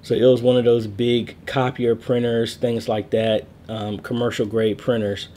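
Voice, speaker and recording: Relaxed man explaining a network diagram. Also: mid-range voice, normal speed, slight background noise. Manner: relaxed, explanatory, with fillers and self-corrections. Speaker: church media volunteer explaining networks. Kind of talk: casual screen-share tutorial.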